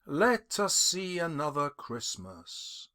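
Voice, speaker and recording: A man voicing a calm, knowing spirit from a classic ghost story audiobook, speaking solemnly. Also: on the high side, slow, heavily acted. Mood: solemn, gentle.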